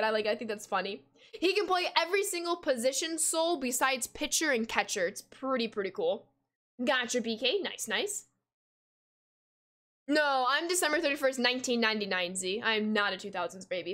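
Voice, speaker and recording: A woman in her twenties streaming a video game from home, chatting casually with viewers, reading chat aloud and gasping and laughing in bursts of excitement. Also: sits high. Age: 20s. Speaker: gaming streamer. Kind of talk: casual live-stream chat while playing. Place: home room at a desk.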